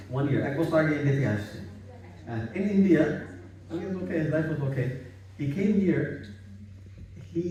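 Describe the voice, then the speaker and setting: Man from Bangladesh, relaxed home religious talk, warm tone, heard from afar. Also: mid-range voice, a little hiss or hum. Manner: relaxed, repetitive, sprinkled with religious phrases. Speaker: halaqah speaker. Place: home living room gathering. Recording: echoey room, far from mic.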